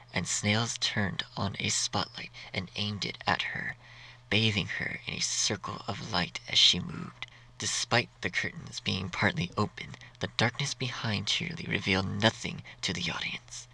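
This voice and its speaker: Deep, gravelly male story narrator. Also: steady tone, a little slow, pushed and bright, poor audio.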